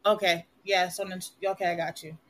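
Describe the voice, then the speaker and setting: A woman's voice: lowish voice, a little fast, a little hiss or hum. A woman from Puerto Rico hosting a live online show, chatting casually and rambling with frequent laughs. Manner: rambling, animated, laughs often. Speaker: livestream host. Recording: loud music behind the voice. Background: music playing.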